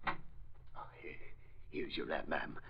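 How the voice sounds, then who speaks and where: An actor playing a bumbling, servile, forgetful butler in 1940s radio style, deferential and muddled. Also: male voice, slow, lightly acted. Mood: flustered, apologetic. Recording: muffled old radio recording, faint hiss, clicks.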